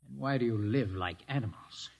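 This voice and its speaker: A man's voice: mid-range voice, moderate pace, vocal fry, darkish tone. An actor playing a frightened, indoctrinated young male scientist held captive in a 1950s radio drama, defensive and dogmatic. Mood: defiant. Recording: old 1950s radio broadcast.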